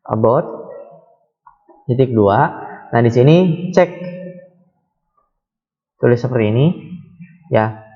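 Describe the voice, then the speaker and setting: A man explains code in a relaxed, unhurried tutorial. His voice sits at an ordinary middle pitch, and he pauses on fillers and corrects himself as he goes. The recording sounds a little dull and lo-fi. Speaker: programming tutor. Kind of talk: screen-recorded coding tutorial.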